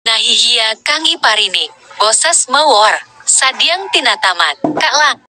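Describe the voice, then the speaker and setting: Bright, high synthetic female voice. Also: animated, a little slow, slight background noise. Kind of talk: text-to-speech sample reading.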